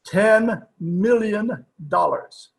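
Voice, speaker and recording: A man's voice: sits high, lively intonation, drawn-out words, gravelly, full character voice. A man playing a stubborn, stuffy older judge in old-time radio comedy, with a formal, pompous way of talking. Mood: flustered, defiant. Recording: online video-call recording.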